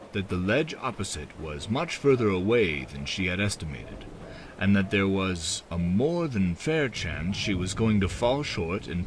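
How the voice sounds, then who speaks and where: A man reading an audiobook as dramatic scripted narration, in a measured, theatrical manner. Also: sits low, slight background noise. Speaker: audiobook reader.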